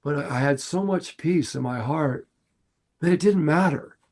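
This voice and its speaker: An older man with a raspy voice, co-hosting a casual podcast and telling his story earnestly, often laughing. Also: a little high, normal speed, a little mellow. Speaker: podcast co-host.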